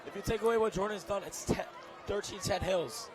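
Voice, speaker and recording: A young man with a hoarse, strained voice doing excitable live play-by-play commentary outdoors at a football game. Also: high-pitched, brisk. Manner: excitable, shouts on big plays. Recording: loud outdoor stadium crowd noise.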